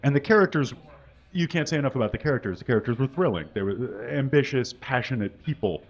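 A man reads aloud at a stand mic on a small curtained stage, with a deadpan, mock-earnest manner. His voice sits in the middle range, and the live recording sounds a little dull. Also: mid-range voice, quick pace. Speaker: guest reader. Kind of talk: comic dramatic reading.

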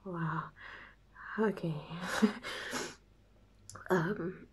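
A woman playing a nervous, giddy young woman in an ASMR roleplay, stammering softly and often whispering in a halting, giggly way. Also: lowish voice, steady tone, heavily acted. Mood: flustered, happy. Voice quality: soft, breathy, often whispered. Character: nervous, giddy young woman before and after first kiss.

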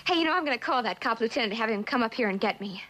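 An actress playing a blunt, streetwise young delinquent with a slangy, defiant way of talking in a vintage suspense drama. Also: female voice, on the high side, expressive, rapid-fire, sharp tone. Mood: brisk, firm. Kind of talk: 1960s television suspense drama acting.

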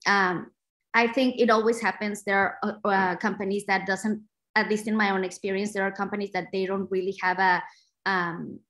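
Female panelist, middle-aged, mid-range pitch, heartfelt and emphatic on a video call. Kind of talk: personal account on a virtual panel. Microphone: computer mic on video call.

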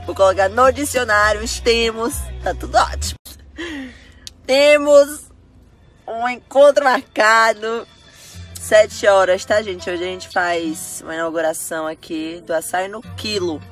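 A young woman talks straight into her phone at arm's length, chatty and familiar, now and then gently firm with her listeners. Her pitch sits in the middle range. Faint music plays underneath. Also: a little slow. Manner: chatty, addresses fans as "gente", repeats "tá bom?"; firm but soft-spoken when scolding fans. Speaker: dancer and influencer talking to fans. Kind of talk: casual phone selfie stories. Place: bedroom and car, indoors.

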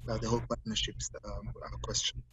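A man moderating a webinar, passing on audience questions in a measured way with many fillers. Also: fairly low pitch, level tone, pushed and bright, in a whisper. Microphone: video call. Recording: dull lo-fi video call audio.

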